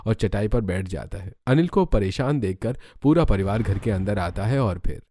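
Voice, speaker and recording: Mellow male story narrator. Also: fairly low pitch, animated, normal speed, a little hiss or hum. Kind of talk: scripted Hindi cartoon story narration.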